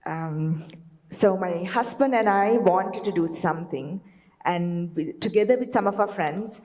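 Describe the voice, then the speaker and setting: A woman's voice: fairly low pitch, measured pace, dark tone. A woman from Sri Lanka, a nonprofit leader, speaking to an audience on a panel in a composed, matter-of-fact way. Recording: long hall reverb.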